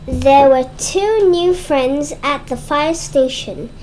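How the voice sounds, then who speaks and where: A child reading a storybook aloud in a halting way, stumbling and repeating words. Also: high-pitched, a little mellow, a little hiss or hum, low-quality recording. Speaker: read-aloud presenter.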